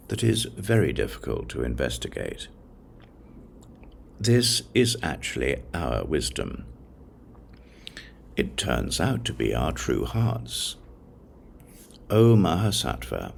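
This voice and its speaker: A man reading a religious text aloud as a scripted audiobook, in a measured, solemn way with deliberate pauses. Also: a bass voice, gravelly, slight background noise. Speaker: audiobook narrator.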